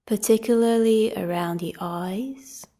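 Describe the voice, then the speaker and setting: A woman guides a meditation in a very slow, relaxed voice. She is calm and gentle, leaving long pauses between instructions. Her soft tone thins to a slight breathiness as phrases end, with a mellow, warm colour throughout. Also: mid-range voice. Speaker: meditation teacher leading a guided practice.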